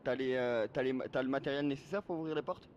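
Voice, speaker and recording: Man, mid-pitched, quick insistent questioning, casual game role-play, lo-fi chat audio. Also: darkish tone. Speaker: role-play player and server staff. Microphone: gaming voice chat. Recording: dull, noisy game voice chat.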